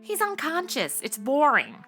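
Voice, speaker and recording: A woman's voice: sits high, huge pitch swings, measured pace, bright, forceful tone, faint music behind, full character voice. A woman acting as a sassy, flippant modern young woman in a comedy audio drama, sarcastic and exclamatory. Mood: flippant, complaining.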